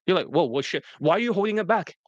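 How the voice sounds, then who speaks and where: A man's voice: lively intonation, fast, dry recording, dull audio. A man hosting as a dating coach, talking bluntly and profanely, mocking his students with whiny put-on voices. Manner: brash, profane, mocks students in high-pitched quoted whines. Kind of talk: blunt promotional coaching talk.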